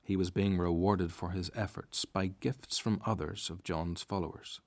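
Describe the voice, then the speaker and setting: Disciple narrator, low-pitched, reflective storytelling, scripted radio drama. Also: male voice, steady tone, normal speed. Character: reflective male disciple recalling his story.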